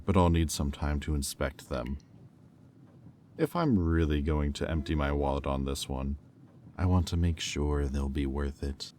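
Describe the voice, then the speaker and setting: A man playing a haughty, menacing demon in roleplay audio, speaking in a condescending, deliberate, taunting drawl. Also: a bass voice, a little mellow, slight background noise. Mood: cool, businesslike. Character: haughty, menacing male demon buyer, coolly cruel and mocking. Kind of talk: slow, intimate roleplay audio acting.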